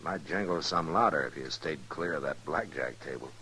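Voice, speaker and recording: An actor playing a calm, watchful American frontier marshal in an old-time radio Western, with a dry, laconic manner. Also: male voice, rumbling low, brisk, slight background noise. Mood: dry, probing. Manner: dry, laconic, folksy questioning.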